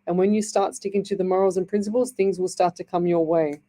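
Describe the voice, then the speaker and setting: Australian woman radio host, low mellow voice, throat dry and scratchy, rambling chatty delivery. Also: fairly even intonation, quick pace. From Northern Ireland. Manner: chatty, rambling, warm-hearted reassurance. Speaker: radio psychic medium host. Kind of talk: live radio chat reading messages. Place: radio studio.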